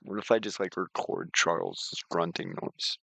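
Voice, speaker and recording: A man talks in a low register with plenty of vocal fry. The sound is dry and close, like a streamer at his desk. He explains his screen informally and wanders as he goes. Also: big pitch swings, moderate pace, fairly bright tone, no echo. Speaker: streamer and software developer. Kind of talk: casual screen-share walkthrough and chat.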